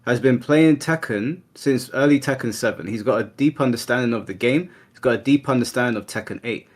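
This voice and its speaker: A man's voice: medium pitch, fairly quick. Assertive man holding the floor. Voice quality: assertive, firm. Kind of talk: heated debate.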